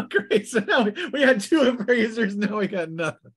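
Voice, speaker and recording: A man acting as game master, casually narrating scenes and rulings at a tabletop role-playing game, sometimes haltingly. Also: sits high, very animated, fairly bright tone, breathy edge.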